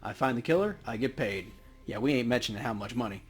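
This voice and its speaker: A man's voice: medium pitch, lively intonation, fast, husky. A man hosting a let's play, joking informally as he comments and reads dialogue aloud. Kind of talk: casual game commentary and reading dialogue aloud. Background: game music.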